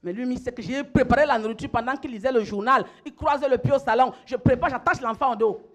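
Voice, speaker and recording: A woman's voice: high-pitched, fast talker. A middle-aged woman pastor, preaching emphatically, repeating phrases and calling on her listeners to respond. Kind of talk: sermon.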